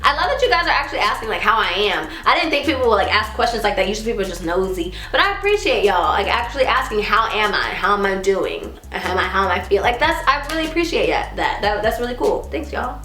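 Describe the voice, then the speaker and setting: A woman in her twenties from Texas, chatting casually as she answers viewer questions, giggling often and sometimes trailing into a soft mumble. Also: mid-range voice, sing-song, fast talker, few pauses. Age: 20s. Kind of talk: casual chat. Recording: roomy echo with faint music.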